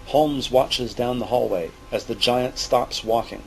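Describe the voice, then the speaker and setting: Male narrator, creaky mid-pitched voice, measured dramatic storytelling, faint music underneath. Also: rough recording. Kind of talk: scripted audio drama narration.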